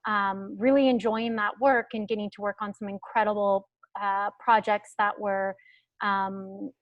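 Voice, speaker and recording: A woman's voice: medium pitch, dry recording. A woman in her late thirties from the Pacific Northwest, telling a story on a video call with many ums. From United States, Pacific Northwest. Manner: thoughtful storytelling with many fillers. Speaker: guest speaker, travel company founder. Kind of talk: webinar talk.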